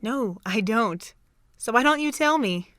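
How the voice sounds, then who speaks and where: A woman's voice: fairly high pitch, lively intonation, measured pace, a bit bright, dry recording. A young woman playing a chirpy, caring and nosy college student in an amateur audio drama. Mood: pressing.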